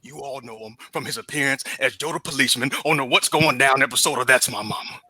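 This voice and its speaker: A man playing an exuberant preacher hosting a pageant in a comedy table read, raspy and punctuating phrases with "ha". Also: medium pitch, fast, bright, forceful tone, no room sound. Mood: showy announcing. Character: exuberant flamboyant male preacher emceeing a pageant.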